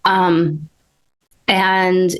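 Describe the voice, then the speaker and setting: A woman from Turkey, a guest in an interview, speaking hesitantly and thoughtfully with many pauses and ums. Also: on the low side, flat delivery, rough recording. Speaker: guest, energy healer. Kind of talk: casual interview talk.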